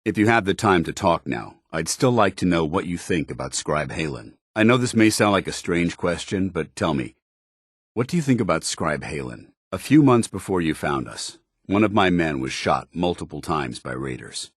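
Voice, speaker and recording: An American man voicing a stern, earnest, duty-bound military commander in a video game, speaking in formal, measured phrases. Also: deep voice, very animated, breathy edge, no echo, studio quality, heavily acted. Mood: sincere, then somber, confiding. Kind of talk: video game companion dialogue.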